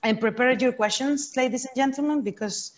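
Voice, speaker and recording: A woman hosting an online webinar, briskly welcoming people and guiding the audience. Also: high voice. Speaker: webinar host. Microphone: video call.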